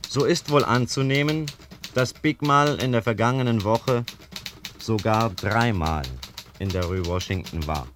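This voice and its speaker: Dutiful investigator, male actor reporting formally, middle-pitched, measured. 1950s German radio drama with clear background noise. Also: close to an everyday voice. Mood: matter-of-fact. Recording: clearly noisy old radio play recording.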